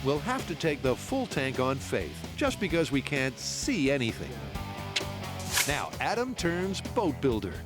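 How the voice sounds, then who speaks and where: A man's voice: medium pitch, sing-song, measured pace. A man reading scripted documentary narration in a wry, punny voice-over manner. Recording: voice-over with music behind.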